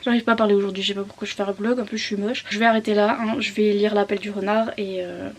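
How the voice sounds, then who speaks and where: A woman's voice: medium pitch, level tone, quick pace, slight background noise. A woman chatting casually as a book vlogger, rambling off on tangents and poking fun at herself. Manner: self-mocking, jokey, digressive. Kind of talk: casual rambling vlog chat.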